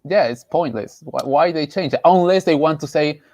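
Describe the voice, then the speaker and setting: A man from Peru with a Peruvian Spanish accent, giving casual opinions as a panelist in an emphatic, halting way, often repeating phrases. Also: on the high side, a little mellow, no echo, studio quality. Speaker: panelist, artist.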